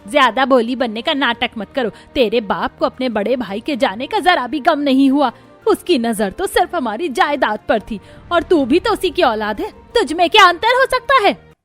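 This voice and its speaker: A woman's voice: high voice, lively intonation, moderate pace, fairly bright tone, soft background music. A woman voicing a bitter, suspicious middle-aged aunt in a cartoon story, accusing and sarcastic. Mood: angry accusing. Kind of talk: Hindi cartoon story acting.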